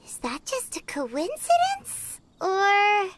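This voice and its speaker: A tiny, excitable fairy guide from a video game, with an extremely high, squeaky, childlike voice. She is chatty and curious, exaggerating her words in big swoops of pitch while taking her time over them. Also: slow pace, very noisy, heavily acted. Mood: surprised, puzzled. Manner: perky, exaggerated, refers to herself in third person. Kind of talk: animated video game character acting. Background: prominent background music.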